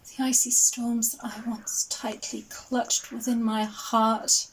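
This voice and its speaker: Ice queen , played by a woman: proud, solemn, slow and even-toned, measured theatrical verse. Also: fairly high pitch, slight background noise, poor audio. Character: proud isolated ice queen, defiant and regal.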